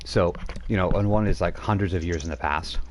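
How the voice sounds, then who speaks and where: A man's voice: low voice, fairly quick, noisy background. A man running a tabletop role-playing game over voice chat, narrating loosely with many fillers and restarts. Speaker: game master.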